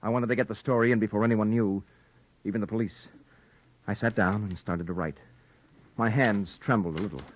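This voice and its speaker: An American actor playing an excitable, self-justifying newspaper reporter in a 1940s radio noir drama. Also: male voice, lowish voice, fairly quick, pauses often, natural voice. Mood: tense narrating. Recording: muffled, noisy 1940s radio recording.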